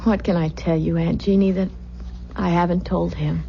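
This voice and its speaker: An actress playing a tender, weary, secretly fearful young wife in an old-time radio drama, speaking softly and hesitantly. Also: female voice, lowish voice, fairly even intonation, normal speed, vocal fry. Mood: weary, guarded. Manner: hesitant, guarded, soft-spoken. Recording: muffled, noisy vintage radio recording.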